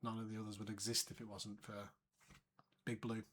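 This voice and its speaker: A man co-hosting a casual podcast, soft-spoken and hesitant, trailing off quietly, sitting a little far from the mic. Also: on the low side, level tone, fairly quick, a little hiss or hum. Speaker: podcast co-host. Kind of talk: casual chat. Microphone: sitting further from the mic.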